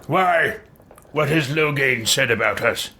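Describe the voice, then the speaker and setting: A man voicing a plainspoken adventurer hero, direct and forceful, in a roleplayed video game. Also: mid-range voice, takes it slowly, soft background music. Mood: questioning.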